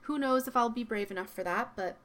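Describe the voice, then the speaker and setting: A young woman hosting her own podcast, talking in a soft, reflective way with frequent ums and likes, her voice slightly worn. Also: a little high, a little fast. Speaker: podcast host. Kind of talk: solo podcast monologue. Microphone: podcast microphone.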